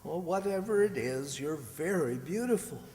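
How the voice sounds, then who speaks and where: A man playing a bashful, courting young country man in a radio drama, earnest and halting. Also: a little high, expressive, darkish tone. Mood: tender, bashful. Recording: hall-like long reverb.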